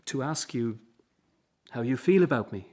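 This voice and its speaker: An actor in a radio drama playing a weary, earnest circus boss and troubled son, with an Irish accent. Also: male voice, mid-range voice, lively intonation, normal speed, darkish tone, lightly acted. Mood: tender, earnest.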